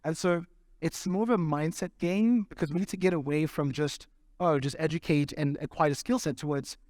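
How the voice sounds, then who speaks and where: A man's voice: a little high, a little mellow, no echo, clean studio sound. A man speaking at a conference, answering audience questions in a loose, conversational way, often checking in with the room. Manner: conversational, keeps checking in with "right?".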